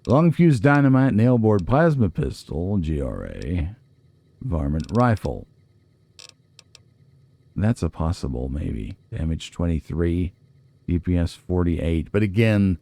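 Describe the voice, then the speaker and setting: Low, mellow man chatting over a game. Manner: folksy, chatty, musing aloud to himself. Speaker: let's play host. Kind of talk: casual gameplay commentary.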